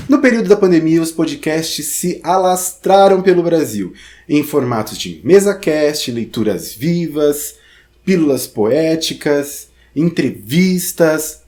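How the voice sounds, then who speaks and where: Brazilian male presenter with a slightly high voice; theatrical, conversational teacher addressing the viewer in an informal lesson. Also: dark tone. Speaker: theatre teacher and podcast host.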